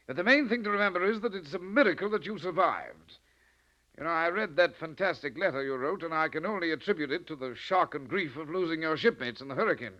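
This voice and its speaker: A stuffy British destroyer captain in a vintage radio play. His voice is highish and swoops expressively. He is brisk, sceptical and condescending, heard on a muffled old recording. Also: pushed and bright. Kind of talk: 1940s radio acting.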